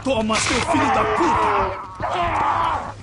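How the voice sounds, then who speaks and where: High-strung, hot-tempered Brazilian villain. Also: male voice, expressive, takes it slowly, creaky, heavy background noise, heavily acted. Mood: furious. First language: Portuguese. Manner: aggressive, sneering. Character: hostile Brazilian police commander, contemptuous, hot-tempered villain. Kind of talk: cinematic game acting. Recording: loud music underneath.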